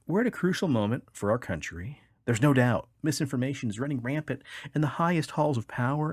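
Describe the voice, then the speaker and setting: A man's voice: medium pitch, a little mellow, breathy edge, dry recording, clean studio sound. A man co-hosting a podcast, reading odd news stories aloud with casual, wry, joking commentary. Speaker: podcast co-host.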